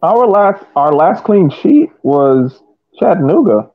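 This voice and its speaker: A man's voice: high voice, sing-song, slow. A man joining casual sports banter as a panelist with brief, emphatic interjections. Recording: dull, lo-fi microphone sound.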